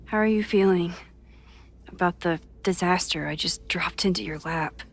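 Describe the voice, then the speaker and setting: A woman's voice: on the low side, moderate pace. A woman acting an anxious, guilt-ridden young American woman in a video game, with a wry, self-doubting manner. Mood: worried, doubtful. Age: young adult. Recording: music under the voice. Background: game music.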